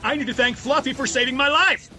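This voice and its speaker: A man's voice: very high-pitched, quick pace, bright, forceful tone, faint music behind, full character voice. A man playing a befuddled, mead-loving Viking warrior in a comedic scripted radio ad. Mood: dazed, eager.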